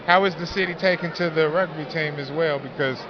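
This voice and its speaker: A man's voice: on the high side, a little fast, lo-fi sound, background noise. A man co-interviewing outdoors, chiming in with short remarks and laughing often.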